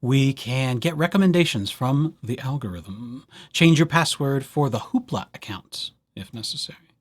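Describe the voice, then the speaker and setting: A man's voice: medium pitch, animated, normal speed. Playful man giving a casual tutorial. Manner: playful, wry asides and mock enthusiasm. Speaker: library presenter hosting a digital services tutorial. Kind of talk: casual screen-share software tutorial.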